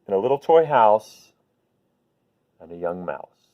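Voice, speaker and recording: A man speaks slowly in a smooth, clean voice, as someone reading aloud to small children at bedtime. His manner is gentle and simple. The sound is narrow and phone-like. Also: fairly low pitch, very animated. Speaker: host reading picture books to children. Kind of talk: slow bedtime read-aloud with casual chat to viewers.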